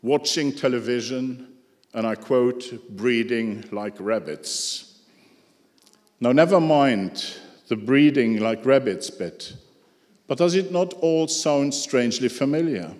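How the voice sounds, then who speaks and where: A man with a non-native English accent giving a prepared lecture, measured and dryly ironic, with asides to the audience. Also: mid-range voice, expressive, a little mellow. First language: not English. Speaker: speaker giving a talk. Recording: echoey hall with long reverb.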